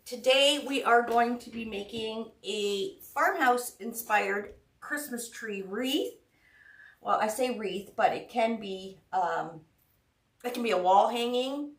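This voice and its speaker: A woman's voice: fairly high pitch, takes it slowly, recorded from a distance. A woman with a head cold hosting a live craft tutorial, chatty and greeting viewers by name. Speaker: craft shop host. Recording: roomy sound, mic set back.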